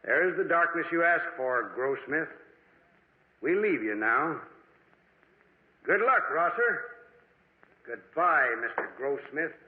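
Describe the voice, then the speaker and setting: Casting: courteous but sinister duel second, old radio chiller, lightly high voice with animated pitch, thin vintage sound. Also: male voice, narrow, tinny audio. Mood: formal, ominous. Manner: polite, deliberate, commanding. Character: formal, composed gentleman duel second, orchestrating a sinister scheme. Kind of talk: 1940s radio horror acting. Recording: thin, band-limited vintage radio recording.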